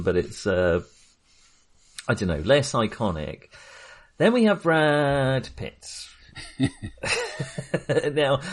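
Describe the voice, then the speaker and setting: Low-voiced man, hesitant talker. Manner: hesitant, halting, self-correcting. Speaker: podcast co-host. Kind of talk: casual film discussion.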